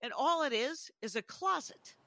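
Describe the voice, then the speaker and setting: A woman's voice: high-pitched, expressive, moderate pace, gravelly, a crowd in the background. A woman co-hosting a podcast, telling stories in a rambling, self-interrupting way with frequent laughs. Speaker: podcast co-host. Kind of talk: casual chat.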